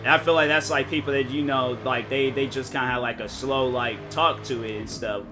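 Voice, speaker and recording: Young man, casual stream chatter, mid-pitched, lots of restarts, game music behind. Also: steady tone. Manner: rambling, halting, lots of fillers and restarts. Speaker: gaming streamer. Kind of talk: casual live-stream chat while racing. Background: game music and car engine sounds.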